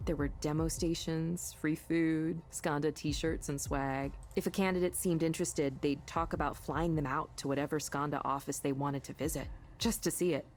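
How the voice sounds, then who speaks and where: A woman's voice: deep voice, normal speed, few pauses, a little hiss or hum, natural voice. A woman playing a curious, self-deprecating young woman in a visual novel, in a natural conversational way. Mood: wistful, self-deprecating. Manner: conversational, animated, self-effacing. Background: game music.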